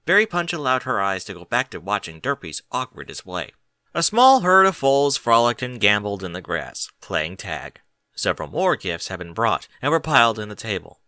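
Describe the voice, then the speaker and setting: A man's voice: fairly low pitch, very animated, moderate pace, sharp tone, no room sound. A man reading a story aloud as scripted narration. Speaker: audiobook reader of fan fiction.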